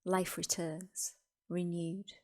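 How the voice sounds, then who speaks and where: A woman narrating a scripted guided meditation as host, with deliberate, reverent phrasing and pauses. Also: on the low side, flat delivery.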